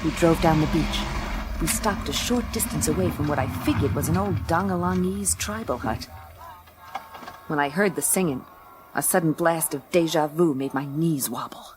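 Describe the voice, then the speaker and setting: A woman's voice: low voice, normal speed, noisy background, lightly acted. An actress playing a tough, wisecracking woman detective in a radio play, dry, blunt and slangy. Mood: dry narration. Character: tough, wisecracking woman gumshoe narrating her case. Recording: music under the voice.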